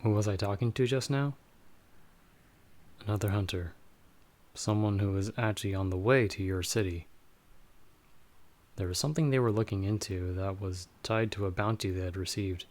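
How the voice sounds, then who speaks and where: A man playing a dry, guarded but protective vampire hunter in an ASMR audio roleplay, speaking in a measured, wry way. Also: lowish voice, a little mellow, natural voice. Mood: serious, briefing. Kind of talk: ASMR audio roleplay, scripted monologue to listener.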